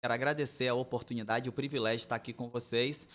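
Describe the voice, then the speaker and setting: A man's voice: medium pitch, fairly even intonation, racing, fairly bright tone. A pastor in his forties, from Bahia, telling his life story as a guest on a church podcast in an easygoing, storytelling way. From Bahia, Brazil. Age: 40s. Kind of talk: relaxed podcast interview, testimony.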